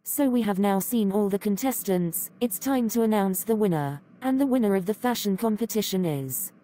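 A woman's voice: mid-range voice, lively intonation, moderate pace, warm tone. A woman voicing an upbeat pageant host in a fan-made cartoon. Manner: upbeat, announcing. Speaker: contest host.

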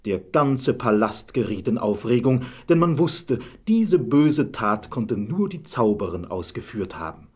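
A man narrating a scripted fairy tale as a measured storyteller. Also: medium pitch, big pitch swings, darkish tone, slightly rough.

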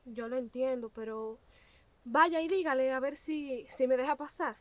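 A woman's voice: high voice, moderate pace, no room sound, close to an everyday voice. A young woman acting as a humble but determined visitor who politely keeps insisting, outdoors by a farm gate. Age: young adult. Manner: polite, persistent. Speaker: actress. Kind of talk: web drama acting. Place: outdoors on a country lane by a gate.

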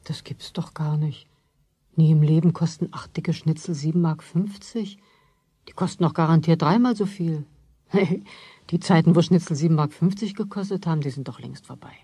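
An older actress playing an honest, fussing grandmother in a radio play, with a husky voice. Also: low-pitched, normal speed, warm tone, dry recording. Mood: indignant.

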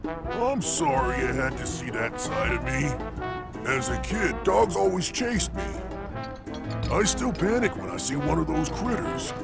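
A hulking rock-giant warrior from a video game, gravelly and booming. He talks slowly and heartily, with big swings in pitch, and is boastful yet big-hearted. Loud music plays behind him. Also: very animated, long reverb tail, heavily acted. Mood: sheepish, uneasy. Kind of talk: video game cutscene acting.

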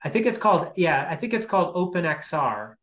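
A man in his fifties explaining informally as a lecturer on a video call from a small home office, halting and thinking aloud. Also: a little high, very animated, fairly bright tone. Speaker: guest lecturer. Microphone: computer mic on a video call.

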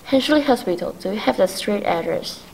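A woman acting a formal telephone information operator in a vintage radio drama. Her voice is medium-pitched, polite and official. There is clear noise in the recording. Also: expressive, moderate pace, a little mellow. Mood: polite, businesslike. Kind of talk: 1940s radio play acting. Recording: noisy stage recording.

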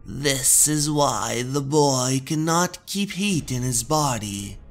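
A man's voice: medium pitch, lively intonation, soft background music, pitched above the performer's own voice. A man voicing a dramatic old woman healer in a horror story, alarmed and ominous, drawing out the words. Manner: alarmed, ominous, drawn-out delivery.

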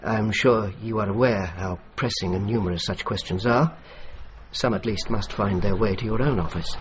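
An actor playing an earnest, determined army officer in a radio drama, speaking in a formal, measured, persuasive way. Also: male voice, lowish voice, lightly acted. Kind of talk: radio drama dialogue and narration. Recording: muffled radio recording with clear noise.

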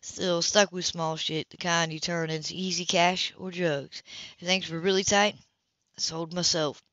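A woman's voice: on the low side, steady tone, moderate pace, fairly bright tone, dry recording, close to an everyday voice. A woman voicing a tough, streetwise man in an audiobook, matter-of-fact and cynical about a hard past. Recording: dull lo-fi home recording.

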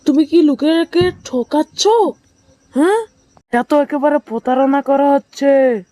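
A woman's voice: high-pitched, measured pace, mellow. A woman voicing a stern male milk inspector from town in a cartoon story. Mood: shocked, accusing.

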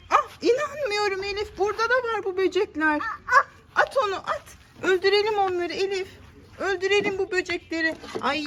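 A woman's voice: very high voice, noisy background. A woman talking as a mother to her young child in playful casual chat, coaxing with short repeated prompts and questions. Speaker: mother hosting a family play video. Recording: music behind the voice. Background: background music.

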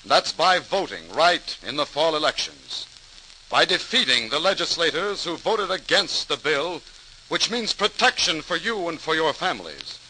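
A stern crusader addressing the public in an old radio play. His voice is very sharp and forceful, highish and animated, with declamatory emphasis. A faint crackle runs under it. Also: normal speed, full character voice. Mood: urgent, stern. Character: earnest crusading doctor rallying the public, stern, urgent. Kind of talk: 1940s radio acting, oratory. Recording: hissy, crackly 1940s radio recording.

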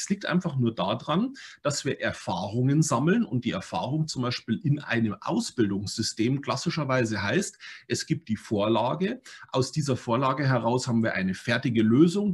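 Very husky man giving an online talk. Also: medium pitch. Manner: animated, explanatory, many fillers and tag questions. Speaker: language learning speaker. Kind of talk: online conference talk with slides. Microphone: webcam on video call.

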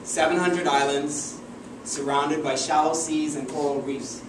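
A man's voice: fairly high pitch, fairly even intonation, unhurried. A young man from the Bahamas giving an earnest, emphatic memorized talk as a doctoral student presenter in a university lecture room. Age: young adult. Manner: earnest, emphatic, standing with open gestures. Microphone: far camera, no visible mic. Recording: echoey room from far off, air-conditioning hum.

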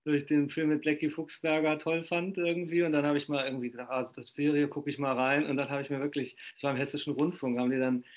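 A man's voice: a little high, little pitch movement, fast talker, hardly any pauses, muffled recording. A middle-aged man from Germany interviewing a guest over a video call, chatty and enthusiastic, with rambling questions and frequent laughs. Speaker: interviewer, fanzine host. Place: home room indoors.

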